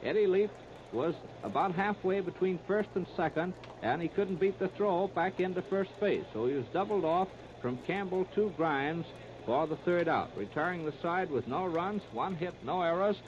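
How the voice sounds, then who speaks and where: American male play-by-play baseball announcer, 1930s radio, higher-pitched voice, scratchy old disc sound. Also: lively intonation, normal speed. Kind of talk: 1930s radio sports commentary. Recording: scratchy, muffled 1939 radio disc recording.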